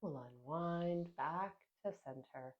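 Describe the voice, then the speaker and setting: A woman yoga instructor calmly cueing a seated class, a little sniffly from a sinus infection. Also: fairly low pitch, highs cut off. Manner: gentle, calm cueing with soft pauses.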